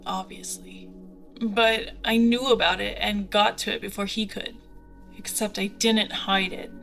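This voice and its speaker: A woman's voice: medium pitch, a bit bright, lightly acted. A woman playing a tough, streetwise, protective young pilot in an audio drama. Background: music.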